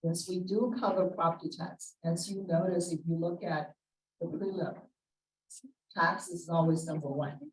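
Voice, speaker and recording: A woman's voice: on the low side, fairly even intonation, normal speed. A woman answering questions on a panel as a title officer, direct and emphatic. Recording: echoey room, distant mic.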